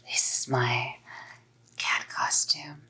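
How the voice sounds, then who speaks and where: A young woman playing an obsessive, menacingly sweet psycho girlfriend in a whispered ASMR roleplay, cooing and softly threatening. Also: very deep voice, sing-song, drawn-out words, vocal fry, heavily acted. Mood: sweetly excited. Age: young adult. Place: small dim room indoors. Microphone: close ASMR mic.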